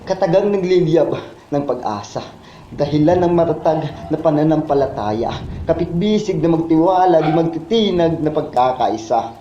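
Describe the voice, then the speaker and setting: A man's voice: fairly high pitch, expressive, moderate pace, dark tone. A man with a Filipino accent reciting spoken word poetry in a solemn, impassioned, declamatory manner. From Philippines. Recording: muffled, echoey, with background noise.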